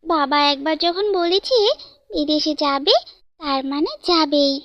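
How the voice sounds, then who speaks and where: A woman's voice: sits high, unhurried, a bit bright, highs cut off, a little hiss or hum. A woman voicing an anxious, lovestruck young female bird student in a cartoon, pleading and earnest. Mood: worried. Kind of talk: cartoon fairytale dialogue.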